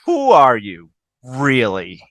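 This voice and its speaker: A woman's voice: extremely low pitch, huge pitch swings, slow, no echo, heavily acted. A woman reading the part of a blunt, baffled, irreverent young woman at a table read. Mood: sour disbelief. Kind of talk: amateur script table read.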